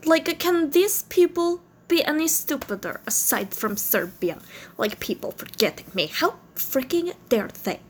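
A woman's voice: sits high, lively intonation, unhurried, slight background noise. A woman voicing the country Slovenia as an exasperated young woman who keeps insisting she exists, in a fan comedy podcast. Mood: indignant. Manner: insistent, repeating herself, frustrated.

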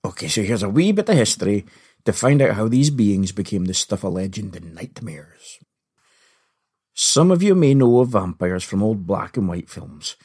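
A man hosting a podcast with scripted comedic narration, husky in voice and playful, punning and theatrical in delivery. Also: lowish voice, mellow. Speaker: podcast host. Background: faint music.

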